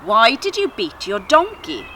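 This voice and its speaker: A woman voicing a stern, commanding male angel in a children's story, measured and admonishing. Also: on the high side, wildly swooping pitch, sharp tone, a little hiss or hum, exaggerated voice. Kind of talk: children's story read aloud.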